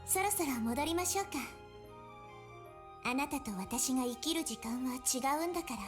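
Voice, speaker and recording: A woman's voice: high voice, expressive, quick pace, music in the background. A woman voice-acting an aloof, slightly wistful young girl in a Japanese visual novel. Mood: calm, distant.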